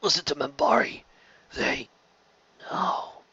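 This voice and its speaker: A male reader acts out a dying alien full of remorse. The words come weakly, in halting fragments that fade away. The sound is close, dry and a bit muffled. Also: fairly high pitch, expressive, creaky, pushed and bright, husky, no echo, full character voice. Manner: weak, halting, fading whispers.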